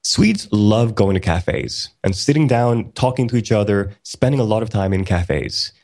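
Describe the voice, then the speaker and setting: A man co-hosting a casual podcast, explaining at length in a relaxed way with many ums and restarts. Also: on the low side, moderate pace. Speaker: podcast co-host.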